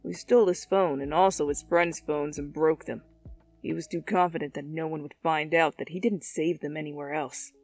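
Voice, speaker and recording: Woman voicing a gruff, cocky delinquent boy. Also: low-pitched, fairly even intonation, moderate pace, faint music behind, voice pushed down. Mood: smug. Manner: blunt, swearing, dismissive.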